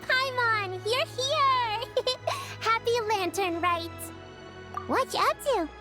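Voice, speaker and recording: A woman playing a cheerful, sensible little girl in a video game, explaining things in a patient, gentle way. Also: extremely high pitch, slow, music in the background. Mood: cheerful, welcoming.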